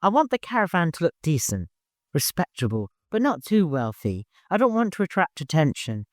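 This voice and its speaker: A man voicing a hurried, no-nonsense master organizing a caravan in an audiobook, courteous but commanding. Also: on the high side, huge pitch swings, no room sound, studio quality, pitched above the performer's own voice. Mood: grave, commanding.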